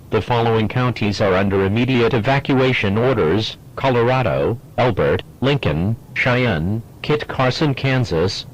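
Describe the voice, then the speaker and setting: A stern, official emergency broadcast announcer played by a man with a fairly low voice. He reads a formal scripted alert in a measured, impersonal way, giving each phrase the same grave weight. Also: low-quality recording.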